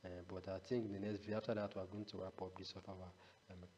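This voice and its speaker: A man's voice: low voice, little pitch movement, fast, darkish tone, dull audio. A man giving an unscripted step-by-step screencast tutorial as an instructor, often repeating himself and punctuating explanations with a rhetorical "what". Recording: echoey reverberant room, noisy background.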